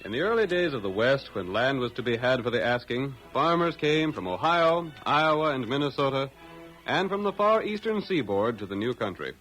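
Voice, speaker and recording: A man's voice: mid-range voice, very animated, moderate pace, a bit bright, faint music behind, low-quality recording. A man with an American accent narrating a vintage radio western in a dramatic, declamatory announcer style. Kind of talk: 1930s-40s radio scripted narration.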